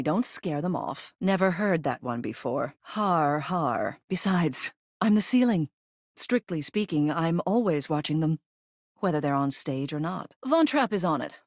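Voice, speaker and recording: A woman performs a grand, theatrical lady arts critic from a video game, a living ceiling with opinions on everything. Her voice sits a little low and swings expressively up and down, lingering on key words. She is arch and haughty but plainly fond, recorded dry with no room sound. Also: dry recording, close to an everyday voice. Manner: theatrical, arch, drawling emphasis. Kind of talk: video game character voice acting.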